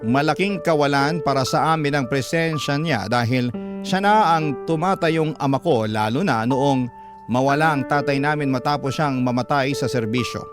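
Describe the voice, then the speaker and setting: Man acting grown guy telling his life story, sentimental radio drama narration, medium pitch, music behind. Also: normal speed, lightly acted. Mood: wistful.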